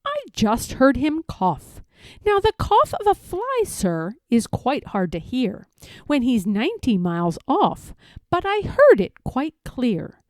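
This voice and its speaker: A woman's voice: sits high, huge pitch swings, slow pace, dry recording, studio quality, pitched above the performer's own voice, heavily acted. A woman voicing a vain male rabbit from a storybook, bragging in a snappy, self-important way. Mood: smug bragging. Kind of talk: storybook read-aloud character voice.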